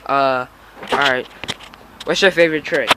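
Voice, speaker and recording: A man casually interviewing someone from behind a handheld camera on a porch, hesitant and filling pauses with "um" and "all right". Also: mid-range voice, noisy background. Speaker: interviewer filming a young skater. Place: outdoors on a house porch.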